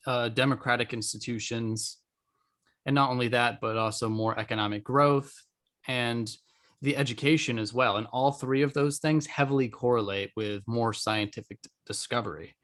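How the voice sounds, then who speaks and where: A man hosting a podcast in casual discussion, with a halting, hesitant way of putting his points. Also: mid-range voice, fairly bright tone. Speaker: podcast host.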